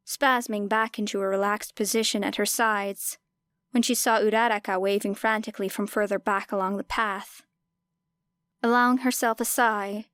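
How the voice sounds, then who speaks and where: A woman reads scripted fiction as an audiobook narrator. Her voice sits at a medium pitch, and the recording is dry with very little room sound. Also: a bit bright, clear, resonant tone, no echo.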